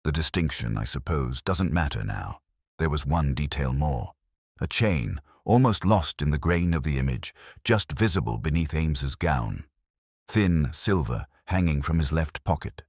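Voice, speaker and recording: Male performer as introspective English detective, bottomless low voice, expressive rise and fall, literary mystery narration, brooding, husky. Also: a little slow, no room sound, studio quality, exaggerated voice. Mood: wistful, suspicious. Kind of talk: scripted first-person mystery narration.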